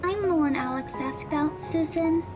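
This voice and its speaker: A woman plays a kind, polite teenage schoolgirl in an audio drama. Her voice is extremely high and girlish, and she speaks gently and earnestly. Music plays clearly behind her. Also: moderate pace, lightly acted. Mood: concerned, apologetic.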